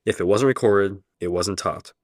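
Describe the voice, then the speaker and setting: A man playing an elderly, kindly Oxford professor who speaks in a calm, measured, reassuring way in a radio play. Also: deep voice, no echo, clean studio sound. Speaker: professor character.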